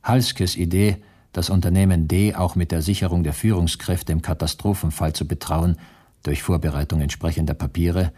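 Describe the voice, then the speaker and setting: A man acting as a former prisoner who narrates a radio play in a sober, formal, husky voice. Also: low-pitched, fairly even intonation, warm tone. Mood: sober, detached. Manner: measured, matter-of-fact, formal. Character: sober male former prisoner recounting camp events.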